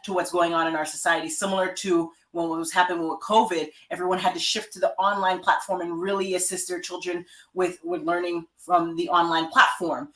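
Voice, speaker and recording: Animated woman guest in an echoey room. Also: on the low side. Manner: animated, emphatic. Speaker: guest, author and life coach. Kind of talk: interview.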